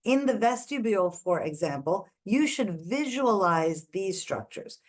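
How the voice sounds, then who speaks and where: A woman from India giving a methodical online lecture, pointing out structures on images one by one. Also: on the low side. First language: not English. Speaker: lecturer on ear anatomy. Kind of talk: online lecture walking through scans.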